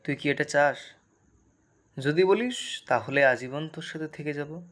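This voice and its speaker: A man's voice: fairly high pitch, measured pace, a bit bright. A man playing an ailing young man in an audio drama, an old friend speaking intimately and plaintively in a cracked voice. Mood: tender. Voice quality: broken, cracked voice.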